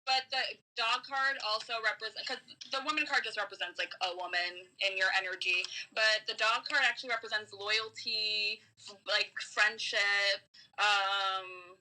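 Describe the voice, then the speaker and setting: A woman doing a casual spiritual card reading as a podcast guest, explaining in a halting, giggly, conversational way. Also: mid-range voice, pushed and bright, low-quality recording. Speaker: tarot reader, podcast guest.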